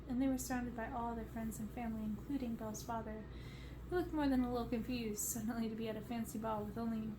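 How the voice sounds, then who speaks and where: Woman, twenties, ASMR novel narrator with a highish voice, in a noisy, echoey bedroom. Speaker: storybook reader. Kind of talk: ASMR bedtime-style reading of a fantasy novel. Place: bedroom, indoors. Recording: roomy echo, noticeable background noise.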